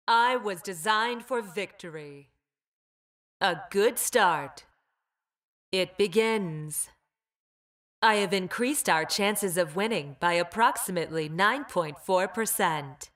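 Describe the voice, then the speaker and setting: An actress playing a cold, logical engineered creature in a video game, speaking in a deadpan, precise and formal way. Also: female voice, medium pitch. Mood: calm, confident. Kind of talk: video game character voice lines.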